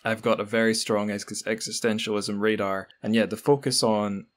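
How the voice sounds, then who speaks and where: Male podcast host, lower register, conversational and discursive, corrects himself mid-sentence. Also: little pitch movement, normal speed. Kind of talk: casual conversational interview.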